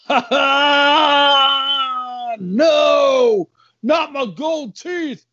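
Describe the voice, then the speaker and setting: A man voicing a dim, excitable tough-guy sidekick in a comedy story, with goofy exclamations and silly slang. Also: very high-pitched, very slow, no room sound, highs cut off, pitched above the performer's own voice, full character voice. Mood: panicked.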